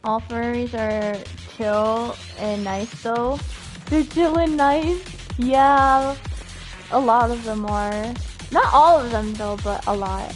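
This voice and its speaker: Female game streamer, higher register, lively and informal, clear game music underneath. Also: slow. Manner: giggly, animated reactions, stumbles when reading aloud. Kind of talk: livestream chat while reading game dialogue aloud. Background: video game music.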